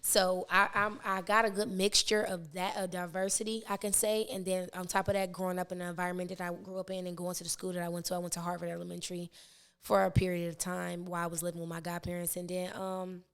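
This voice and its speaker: A young woman with a raspy voice, a touch lower than most women, talks in a loose, rambling way. She fills her sentences with "like" and restarts as she goes. It sounds like a relaxed podcast interview. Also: fast talker. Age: 20s. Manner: rambling, many fillers and restarts. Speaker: rapper and podcast guest. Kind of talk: casual interview chat.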